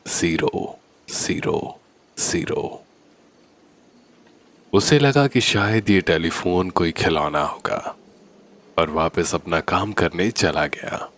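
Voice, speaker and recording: A man narrating a scripted horror story in a deliberate, suspenseful manner. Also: low-pitched, fairly bright tone.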